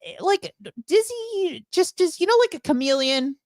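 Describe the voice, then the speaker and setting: A woman's voice: very high voice, very animated, moderate pace, darkish tone, no echo, clean studio sound. A woman co-hosting a radio show, chatting casually in a blunt, playful way. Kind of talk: casual, opinionated chat.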